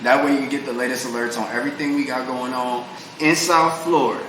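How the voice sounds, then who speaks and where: A man's voice: mid-range voice, fairly even intonation, fairly quick. A man co-hosting a podcast, chatting casually in slangy, emphatic everyday speech. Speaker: podcast co-host. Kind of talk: casual chat, explaining a party game. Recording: echoey room, distant hissy background noise.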